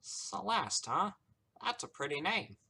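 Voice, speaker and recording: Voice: mid-range voice, big pitch swings, slow, gravelly, bright, forceful tone, breathy edge, pitched above the performer's own voice, heavily acted. A man voicing a smug, mischievous male trickster from a story, theatrical and teasing with mocking sweetness. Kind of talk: character voice in narrated story.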